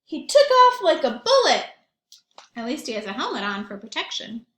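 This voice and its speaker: A woman teacher reading aloud and talking to young children in an expressive storytelling manner, with questions and asides. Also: sits high, moderate pace, echoey room. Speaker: primary school teacher reading aloud to pupils.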